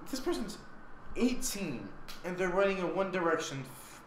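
A man's voice: high voice, very animated, normal speed, far from the mic. A man chatting casually and reacting as a guest co-commentator in a comedy reading. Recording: roomy echo, faint background noise.

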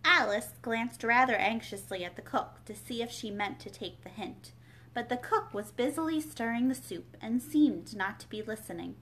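Young woman storyteller, slightly high voice. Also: expressive, normal speed, a bit bright, a little hiss or hum, rough recording. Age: young adult. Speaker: children's library storyteller. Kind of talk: reading a children's novel aloud. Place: small furnished room at home, indoors.

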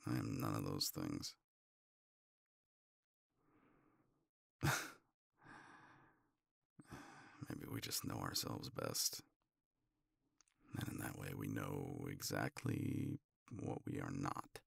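A man playing a tender, teasing older boyfriend in an intimate romance ASMR roleplay, murmuring in a halting, playful way. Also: extremely low pitch, vocal fry, breathy edge, no echo, studio quality, exaggerated voice. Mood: teasing, soft. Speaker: audio roleplay voice actor.

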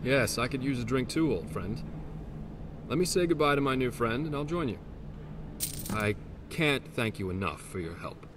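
Casting: male actor for a genteel middle-aged armored noble in a fantasy game, deliberate delivery with animated pitch swings. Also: noisy background, lightly acted. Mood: courteous, persuasive. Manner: formal, warm, deliberate. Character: courteous middle-aged armored nobleman, returned to rebuild his town. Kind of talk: scripted fantasy game dialogue.

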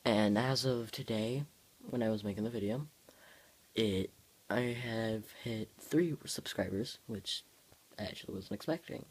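A male host reading his own homemade comics in a casual story-time vlog, halting, informal and self-deprecating, recorded on a handheld phone. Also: fairly low pitch, husky.

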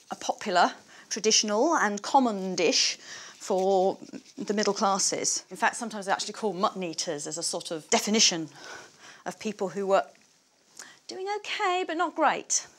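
A woman's voice: medium pitch, lively intonation, normal speed. A woman historian presenting, chatting and explaining as she works in a farm cottage kitchen, laughing now and then. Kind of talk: casual demonstration to camera.

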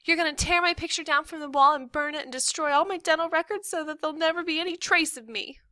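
A woman voicing an excitable, defiant ten-year-old girl in a story, indignant, dramatic and argumentative. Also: high-pitched, fast, no room sound, pitched above the performer's own voice.

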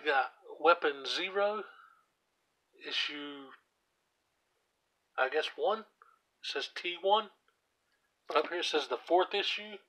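Hoarse man casually listing his comics. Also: on the high side, slow pace, long pauses, sharp tone, rough recording. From US South. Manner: offhand, listing titles with asides and chuckles. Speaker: comic collector vlogger. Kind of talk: casual collection walkthrough. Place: indoors at home, seated on the floor by a shelf. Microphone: camera aimed at the shelf, speaker off screen.

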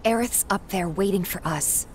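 An actress playing a gentle, caring, quietly hopeful young woman fighter in a video game, soft-spoken. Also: medium pitch, normal speed, a little hiss or hum, natural voice, low-quality recording. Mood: tender. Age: young adult. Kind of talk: dramatic video game acting.

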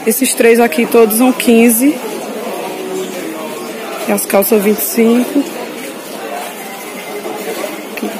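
A woman's voice: on the high side, fairly even intonation, unhurried, music in the background, low-quality recording. A woman with a Northeastern Brazilian accent chatting casually to viewers as she shows items at a busy market, informal and often repeating details. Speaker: vlogger showing market clothing stalls. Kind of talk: casual shopping vlog chat to viewers. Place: busy street clothing market. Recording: busy crowd noise all around. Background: loud market crowd.

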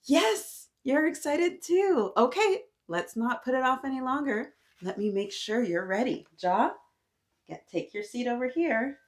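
A woman in her thirties reading aloud to young children, animated and encouraging, often addressing them directly with questions. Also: sits high, unhurried. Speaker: children's story-time host. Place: small decorated indoor room.

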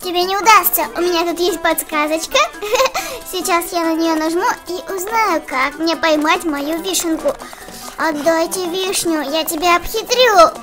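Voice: very high voice, moderate pace. A performer voicing a small, grumpy, dramatic cartoon creature obsessed with its treat, in a children's game, with a whiny voice. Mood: scheming. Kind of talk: children's game let's-play voice acting. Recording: loud children's music underneath. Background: playful children's game music.

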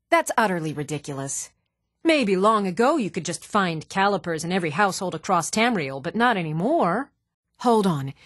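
Casting: flighty, excitable woman wizard for a fantasy game; lively intonation, muddled emphatic manner, dry recording. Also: fairly high pitch, dry recording. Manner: absent-minded, emphatic, self-questioning. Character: scatterbrained, excitable woman mage absorbed in magical experiments. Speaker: video game voice actress. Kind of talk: fantasy game character dialogue.

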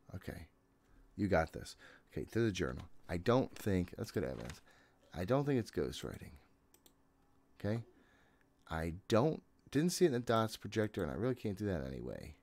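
A man in his thirties doing casual live commentary on a horror game, talking to himself in a jittery way and swearing often. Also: deep voice. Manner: jittery self-talk with frequent swearing. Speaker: gaming streamer. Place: small room at home, indoors.

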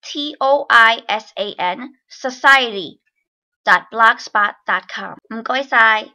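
A woman reads out a closing announcement slowly, spelling out words carefully. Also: fairly high pitch, bright, forceful tone. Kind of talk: slow announcement.

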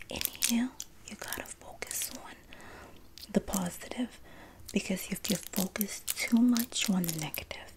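A young woman acting a sassy, streetwise female inmate who comforts her bunkmate in a breathy whispered ASMR roleplay. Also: on the low side, rough recording. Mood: comforting. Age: young adult. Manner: sassy, streetwise slang, drawn-out terms of endearment. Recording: close mic with clear background noise.

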